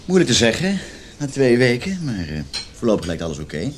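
A man's voice: medium pitch, huge pitch swings, a little fast, slight background noise. An actor playing a suave, sardonic married salesman who flirts and teases in a radio play. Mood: guarded. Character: flirtatious, cynical married salesman named John. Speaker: sales manager character.

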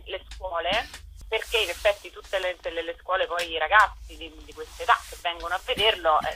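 Female radio interviewee, theatre director, on the phone: raspy, forward tone, searching phrasing. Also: fairly low pitch, bright, forceful tone, poor audio. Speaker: guest, theatre writer and director.

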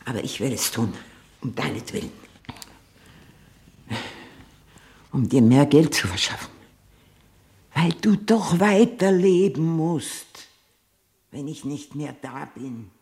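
A domineering old woman in a vintage radio drama. Her low voice is breathy and often sinks to a whisper, then leaps sharply in pitch as she scolds and schemes. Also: animated, unhurried, dark tone. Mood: calculating, resigned. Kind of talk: 1960s German radio play acting.

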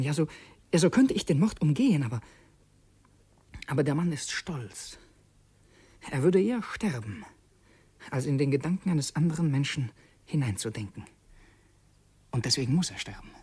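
A brooding secret revolutionary in a radio drama. His voice is dark, husky and dry, and he muses haltingly, sinking toward a whisper as he weighs his thoughts. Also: on the high side, animated, no room sound. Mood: brooding, intimate. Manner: halting, reflective, dropping into near-whispers. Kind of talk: German radio drama monologue and dialogue.

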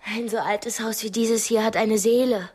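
A high-voiced, proud young captive. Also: female voice, steady tone, moderate pace, darkish tone, husky. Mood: indignant, critical. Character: captive young woman, proud, cultured, outspoken and wilful. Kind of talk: radio drama acting.

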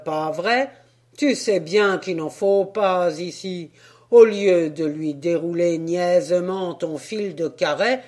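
A woman's voice: on the low side, a little mellow. A woman reading a story, voicing a blunt, no-nonsense male sailor who talks in a brusque, scolding way. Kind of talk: storybook character voice.

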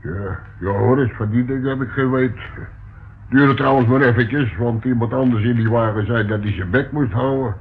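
A man's voice: mid-range voice, moderate pace, close to an everyday voice. An actor playing a plain-spoken, helpful working man in a radio play, with a homely, slightly hesitant manner. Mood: matter-of-fact. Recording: tinny, faintly noisy old radio recording.

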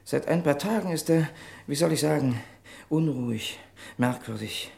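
An actor playing a good-natured but vengeful friend in a German radio crime drama, plain-spoken, avuncular and emphatic. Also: male voice, on the high side, expressive, normal speed, vocal fry, a little mellow. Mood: concerned, fond.

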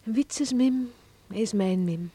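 An actress playing a sweet, devoted young country bride-to-be in a radio drama, with a soft, breathy voice. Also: female voice, a little high, very slow, slight background noise. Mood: tender. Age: young adult.

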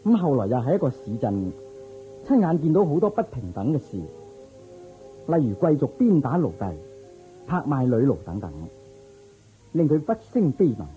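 A man's voice: on the high side, very animated, moderate pace, long pauses. A man delivering scripted narration for a radio drama, as its storyteller. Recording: dull lo-fi radio recording. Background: music.

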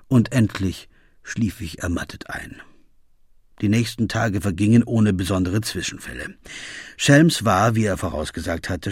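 An actor playing a detective's loyal, puzzled companion, narrating the case in a measured, literary radio play style. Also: male voice, lowish voice, a little mellow, breathy edge, no room sound, clean studio sound.

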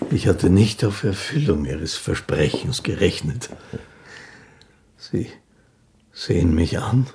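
An actor playing a poor, pious old street fiddler in a radio play, speaking in formal, hesitant, ceremonious phrases. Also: male voice, deep voice, expressive, slow, darkish tone, a little hiss or hum, heavily acted. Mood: gentle, reminiscent. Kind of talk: German radio play dialogue.

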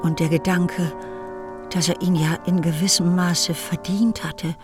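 A woman's voice: fairly low pitch, little pitch movement, measured pace. A woman narrating a literary radio drama in a scripted storytelling style. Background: music.

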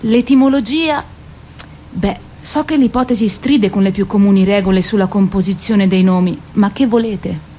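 Woman acting mythic Greek queen, weary, proud, ironic, declamatory, radio play monologue, medium pitch. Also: moderate pace, dark tone, a little hiss or hum, lightly acted. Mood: restless, bitter. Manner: declamatory, ironic, lingering on repeated words. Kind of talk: theatrical radio drama monologue.

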